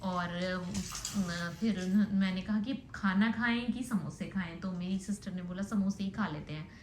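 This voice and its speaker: A woman's voice: medium pitch, a little slow. A young woman talks casually, as in a personal audio diary. She rambles in a conversational way and drops in English words. The recording is noticeably roomy, with faint noise behind her. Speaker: vlogger. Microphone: phone set down nearby. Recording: roomy echo with background noise.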